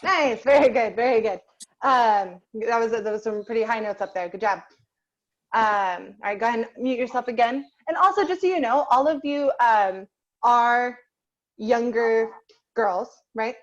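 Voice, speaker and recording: A fairly high-pitched young woman teaching singing over a video call, warm in her encouragement and chatty, with plenty of "um" and "like". The sound is dull and lo-fi, as if through a laptop connection. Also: lively intonation, moderate pace. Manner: encouraging, chatty, many fillers. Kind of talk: online group singing class.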